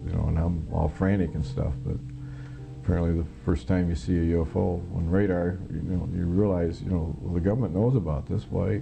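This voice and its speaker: An American man recounting his own experience in an interview, halting, plain-spoken and matter-of-fact, as a former air traffic controller. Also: deep voice, steady tone, gravelly, muffled recording.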